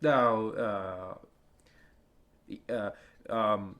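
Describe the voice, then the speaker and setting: A man playing a pompous, crotchety old narrator of a radio horror show, with a theatrical, drawn-out delivery. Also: fairly low pitch, a bit bright. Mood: defensive, grumbling.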